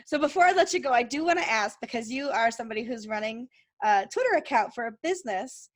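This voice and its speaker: High-voiced upbeat woman host. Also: lively intonation. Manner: upbeat, quick reactions, playful asides. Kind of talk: casual chat.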